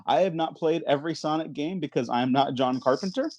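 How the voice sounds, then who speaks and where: A man hosting a movie podcast in casual chat, informal and halting, often repeating his words. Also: a little high, a little mellow, no room sound, muffled recording. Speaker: podcast host.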